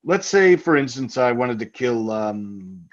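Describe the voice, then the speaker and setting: An actor playing a jovial but menacing hunting husband in old-time radio drama style, breezy and taunting. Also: male voice, mid-range voice, expressive, measured pace, muffled recording, natural voice. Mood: jovial, sly. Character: jovially menacing husband, keen hunter, teasing with murder talk.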